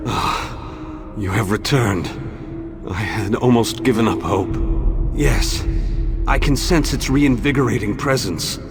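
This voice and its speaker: An actor playing a pompous, self-assured great wizard in a video game, speaking in a grand, formal, theatrical way. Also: male voice, medium pitch. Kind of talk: video game character acting. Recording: loud music behind the voice.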